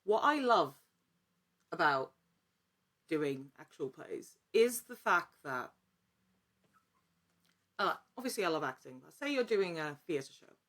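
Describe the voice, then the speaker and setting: A woman's voice: on the low side, big pitch swings, slow pace, pauses often, fairly bright tone. A woman who works as an actor, chatting casually and enthusiastically as an interview guest, with emphatic wording.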